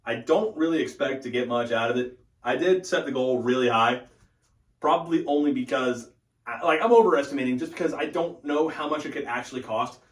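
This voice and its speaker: A young adult man giving a casual, informal update as the host of a hobby channel, thinking aloud as he goes. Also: mid-range voice. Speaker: host of a hockey fan channel. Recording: echoey room, distant from the mic.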